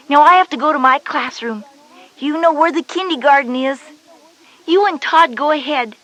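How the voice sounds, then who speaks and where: Schoolgirl big sister, high-pitched, confident, reassuring, explains things patiently, children's audio drama. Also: bright, forceful tone, heavily acted, rough recording. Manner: instructive, encouraging.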